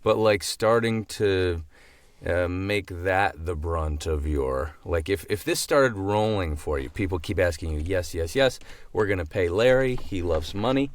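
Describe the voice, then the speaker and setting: A man hosting a casual podcast interview, halting as he thinks aloud. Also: deep voice, lively intonation, dry recording. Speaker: podcast host.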